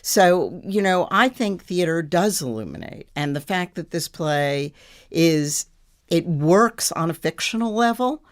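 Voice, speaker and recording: Female producer on a panel: clearly low voice, earnest, conversational, leans on key points. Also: animated, unhurried. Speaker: producer guest.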